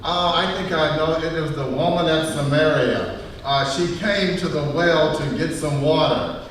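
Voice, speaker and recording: Slow, echoey, halting male gospel witness acted. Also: fairly high pitch, fairly even intonation, fairly bright tone. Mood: thoughtful, hesitant. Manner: halting, conversational. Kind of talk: acted interview in live church drama. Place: church hall, recorded live. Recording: very echoey hall, distant mic, faint noise.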